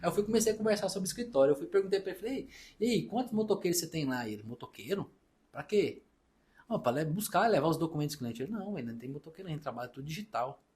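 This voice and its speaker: Fast-talking Brazilian man telling stories. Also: a little high, animated, darkish tone. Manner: fast-talking, storytelling, reenacts dialogue. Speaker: accountant and business partner. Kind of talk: casual podcast conversation.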